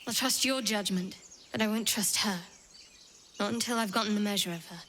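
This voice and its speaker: Young half-elf adventurer, voiced by a woman: mid-pitched, guarded, dryly cutting, game dialogue with faint birdsong. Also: a little fast, fairly bright tone, a little hiss or hum, natural voice. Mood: wary, cutting. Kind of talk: video game acting.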